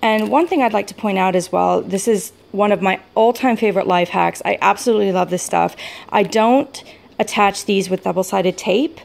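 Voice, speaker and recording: An American woman casually talking through a show-and-tell, rambling a little hesitantly, with gentle enthusiasm for small details. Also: medium pitch, moderate pace, creaky. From United States. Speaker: vlogger showing a planner setup. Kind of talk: casual product walk-through.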